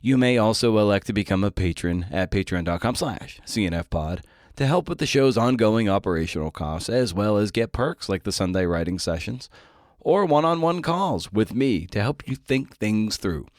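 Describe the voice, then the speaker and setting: Low-voiced man hosting a podcast, dry sound. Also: lively intonation, normal speed, no room sound. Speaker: podcast host. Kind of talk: scripted podcast intro and interview questions.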